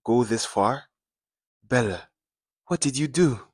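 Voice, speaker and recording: A man's voice: mid-range voice, lively intonation, slow, darkish tone, breathy edge, no echo, clean studio sound, voice pushed up. A man voicing a distraught but determined mother, urgent and confrontational, in a dramatic story. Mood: alarmed.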